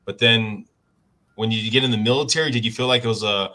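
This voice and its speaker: A man's voice: on the low side, steady tone, fairly quick, pushed and bright. A man interviewing a guest, asking casual, probing questions.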